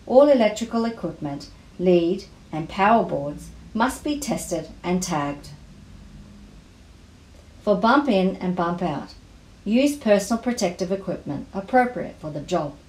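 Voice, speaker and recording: A woman reading volunteer safety rules aloud, item by item, in a measured way. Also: lowish voice, a little mellow. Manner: measured, list-by-list reading delivery. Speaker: volunteer safety induction presenter. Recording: roomy, echoey voice-over.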